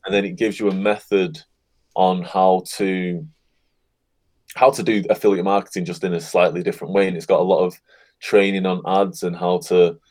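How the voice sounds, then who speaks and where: A man being interviewed as a guest on a podcast, talking in a relaxed, encouraging way. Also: low-pitched, moderate pace. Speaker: guest, affiliate marketer. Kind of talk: casual interview chat.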